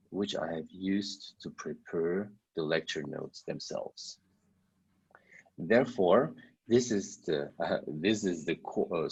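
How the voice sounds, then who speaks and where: Deep slow halting lecturer. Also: male voice, a little mellow, slightly rough, rough recording. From Germany. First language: German. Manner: halting, searching for words, many fillers. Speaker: university lecturer. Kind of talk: online university lecture.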